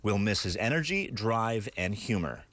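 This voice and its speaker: A man's voice: lowish voice, big pitch swings, moderate pace, a bit bright, no echo. A man delivering scripted news narration as a reporter.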